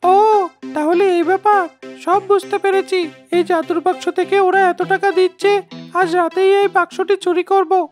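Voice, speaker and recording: This is a cartoon henchman, sly and nosy. His voice sits extremely high and moves very little in pitch. He comes across as a servile village schemer. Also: fairly even intonation, measured pace, soft background music. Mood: scheming. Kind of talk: cartoon character dialogue.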